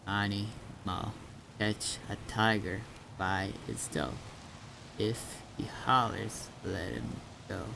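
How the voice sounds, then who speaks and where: A man's voice: lowish voice, gravelly. A man chatting casually with other players in an online roleplay game. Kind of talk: casual gaming voice chat. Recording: noisy game voice chat audio.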